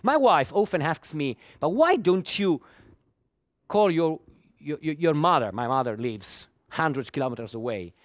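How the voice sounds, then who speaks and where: A man's voice: fairly high pitch, expressive, normal speed, no echo, clean studio sound. Man giving a conference talk. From Italy. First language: Italian. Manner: repeats words while searching for them, with small laughs. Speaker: conference speaker.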